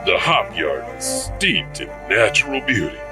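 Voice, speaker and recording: A man's voice: fairly low pitch, big pitch swings, measured pace. A man reading scripted narration as a narrator. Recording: music behind the voice.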